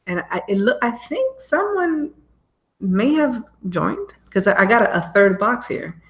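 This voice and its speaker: A woman's voice: a little high, very animated, a little slow, fairly bright tone, reverberant. A woman from the Bronx co-hosting a live podcast, chatting in an upbeat way and laughing easily. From the Bronx, New York. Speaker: podcast co-host. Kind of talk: casual live-stream chat.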